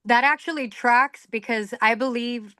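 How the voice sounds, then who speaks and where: A woman's voice: a little high, measured pace, pushed and bright, clear, resonant tone, no room sound, clean studio sound. A woman from the Dominican Republic chatting casually in a group, hedging and hesitant as she goes.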